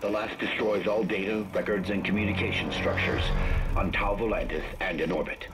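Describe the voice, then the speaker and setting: An actor playing a solemn military commander giving grim final orders in a formal, measured address. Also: male voice, fairly low pitch, steady tone, over loud music, close to an everyday voice. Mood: solemn, resolute. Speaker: military announcer. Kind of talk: formal scripted recorded address.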